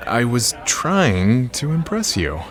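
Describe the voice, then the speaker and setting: A man's voice: mid-range voice, huge pitch swings, slow. A man playing a charming, slightly nervous date in an audio drama, playful and self-deprecating. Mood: sheepish, flirty.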